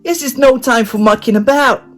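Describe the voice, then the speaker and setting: A man voicing an eager, clever young boy space explorer who explains things in a high, excited voice. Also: moderate pace, soft background music. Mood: shouting.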